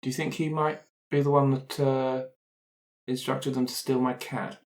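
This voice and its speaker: A man's voice: mid-range voice, little pitch movement, moderate pace, a little mellow, close to an everyday voice. A man improvising a fussy, anxious, polite otherworldly character in a roleplay, hesitant and rambling, often interrupting himself. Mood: curious. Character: fussy, anxious, polite otherworldly being who loves his cat. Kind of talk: improvised roleplay for an actual-play podcast.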